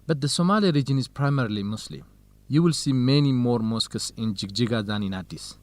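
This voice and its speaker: A man's voice: medium pitch, a little fast, no room sound, lightly acted. Casting: actor as knowledgeable young male researcher, mid-range voice, explains simply, close dry radio recording. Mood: informative. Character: Khalid, helpful, knowledgeable young Ethiopian man researcher. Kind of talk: scripted educational radio drama dialogue.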